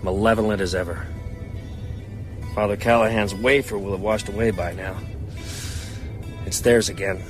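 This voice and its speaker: An actor playing a weary, haunted man out to hunt vampires, brooding and halting in a radio drama. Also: lowish voice, fairly even intonation, faint music behind, lightly acted. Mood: grim dread.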